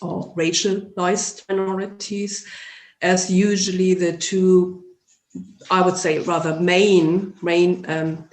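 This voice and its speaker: A woman from Germany with a German accent, a sociology lecturer speaking as a guest in a podcast interview, thoughtful and academic, searching for words. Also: on the low side. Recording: roomy echo.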